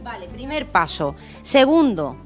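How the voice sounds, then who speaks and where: Upbeat woman reporter, high voice. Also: very animated, measured pace, soft background music. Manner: chatty, upbeat, prompting the chef. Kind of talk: live cooking segment. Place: outdoor seaside restaurant terrace, then indoors. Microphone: handheld mic.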